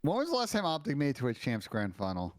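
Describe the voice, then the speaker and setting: A man's voice: mid-range voice, huge pitch swings, fast talker, no echo. Opinionated young man, dry close sound. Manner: opinionated, hedging with "I think". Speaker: esports analyst co-host. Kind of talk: casual esports chat.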